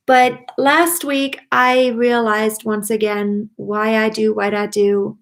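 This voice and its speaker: A woman from Germany, a native German speaker and singing teacher, talking casually and enthusiastically to viewers as a livestream host. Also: medium pitch. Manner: chatty, enthusiastic, addresses viewers directly.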